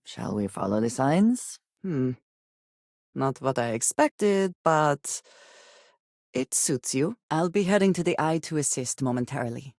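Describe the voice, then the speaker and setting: A woman voicing a guarded, devout space adventurer and loyal companion in a video game, speaking in formal, measured diction. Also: extremely low pitch, a little mellow, dry recording, studio quality, heavily acted. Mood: earnest, tender. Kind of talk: video game character dialogue.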